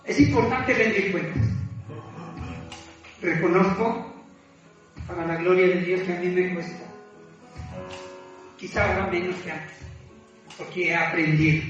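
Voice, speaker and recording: High-voiced male preacher in an echoey room. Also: a bit bright. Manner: halting, self-deprecating, repeats phrases. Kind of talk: informal sermon, exhorting and confessing. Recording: echoey room, distant mic, muffled, music behind.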